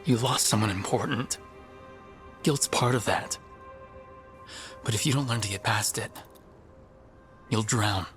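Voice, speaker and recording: An actor playing a calm, supportive male adventurer in a video game, with a gentle, steady, sincere delivery. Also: fairly low pitch, measured pace, pauses often, faint music behind. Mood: gentle, consoling. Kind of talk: video game dramatic voice acting.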